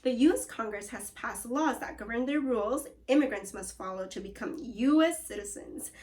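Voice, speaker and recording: A woman's voice: on the high side, lively intonation, moderate pace, a little mellow. A woman teacher reading aloud to young children, encouraging them and prompting with questions and cues. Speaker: elementary school teacher.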